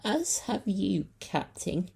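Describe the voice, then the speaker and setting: A man voicing a concerned female walking stick in a high, slow voice. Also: very animated, gravelly, a little mellow, breathy edge, heavily acted.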